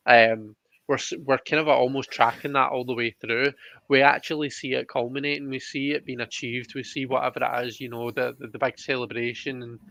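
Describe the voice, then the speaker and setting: A man's voice: medium pitch, little pitch movement, brisk, gravelly, a bit bright, dry recording. A man chatting casually as the host of a live tarot reading, over a slightly muffled online call. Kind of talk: casual chat, reading viewer comments aloud.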